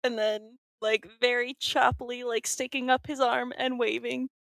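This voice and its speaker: A woman's voice: fairly high pitch, level tone, normal speed, vocal fry, fairly bright tone, no echo. A woman chatting casually as a tabletop game player, tentatively piecing her ideas together aloud. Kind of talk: casual improvised game chat.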